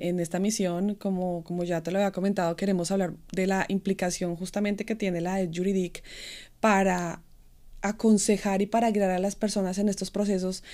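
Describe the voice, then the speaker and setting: A woman's voice: mid-range voice, mellow. A woman hosting an interview, asking long, winding questions.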